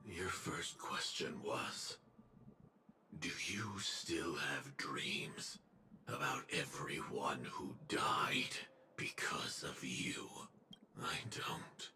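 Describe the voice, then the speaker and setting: A man playing a grim, weary killer in cold armor in a video game, speaking slowly and solemnly in terse phrases. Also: a bass voice, expressive, pushed and bright, in a whisper, full character voice. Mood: brooding, solemn. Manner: slow, terse, solemn delivery. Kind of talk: scripted video game dialogue. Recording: game music behind the voice.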